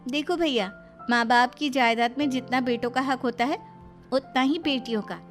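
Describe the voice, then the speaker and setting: Woman voicing a shrill-pitched, indignant young sister. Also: moderate pace, soft background music, natural voice. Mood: defiant, sarcastic. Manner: protesting, argumentative.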